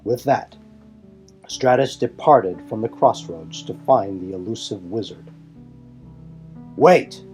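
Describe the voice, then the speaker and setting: A man's voice: lowish voice, unhurried, a little mellow, soft background music. A man giving scripted story narration for a fan audio drama.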